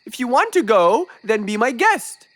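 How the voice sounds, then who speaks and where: A woman's voice: on the high side, big pitch swings, normal speed, heavy background noise. A woman voicing a reluctant, grumpy, cowardly boy in a children's cartoon, grudging and a little whiny, with music behind.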